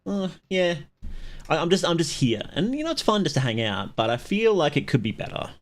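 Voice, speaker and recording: A man hosting a livestream, chatting casually with viewers in a rambling, halting way and often interrupting himself. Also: fairly high pitch, sing-song. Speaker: livestream host.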